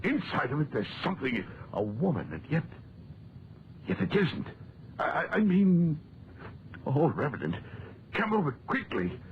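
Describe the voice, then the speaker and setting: An actor playing a stubborn amateur antiquarian who mutters theatrically to himself in a 1940s radio drama. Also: male voice, fairly high pitch, sing-song, measured pace, long pauses. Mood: agitated. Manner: theatrical muttering with tag questions and repeats. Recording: muffled, very noisy old 1940s radio recording.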